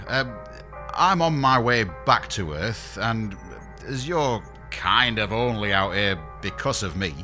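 An actor plays a bashful space engineer in an audio comedy. He speaks slowly and hesitantly in a bright, animated voice, tripping over apologies. Light music runs underneath. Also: lowish voice. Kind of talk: scripted audio comedy sci-fi drama.

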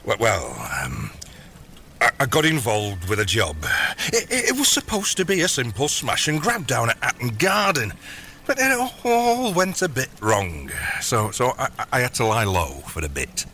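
A man's voice: mid-range voice, wildly swooping pitch, normal speed, sharp tone, slight background noise, full character voice. An actor playing a bumbling, evasive middle-aged father turned crime boss in an audio comedy, stammering and hesitating as he tries to placate. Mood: sheepish, apologetic. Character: bumbling, evasive long-absent dad turned gangster boss. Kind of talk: audio comedy drama acting.

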